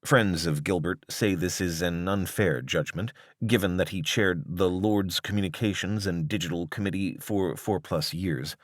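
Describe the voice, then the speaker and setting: A man with a low voice narrates a piece of written journalism. His delivery is scripted, measured and articulate, with a formal tone. The sound is dry, with very little room around the voice. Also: no echo. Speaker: narrator reading a long-form article.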